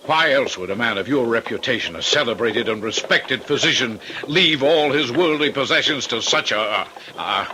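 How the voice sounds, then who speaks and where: Insistent gentleman solicitor, formal and bright-voiced, prone to stammer, animated vintage radio drama delivery. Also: medium pitch, normal speed, few pauses. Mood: stern, concerned. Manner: formal, earnest, stammering when agitated. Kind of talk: old-time radio drama acting. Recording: noisy vintage radio recording.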